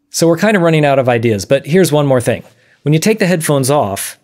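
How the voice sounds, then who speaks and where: A man in his thirties presenting an explanatory video lesson in a measured, methodical way. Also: medium pitch, darkish tone. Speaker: presenter of an audio science series. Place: small dim room, indoors.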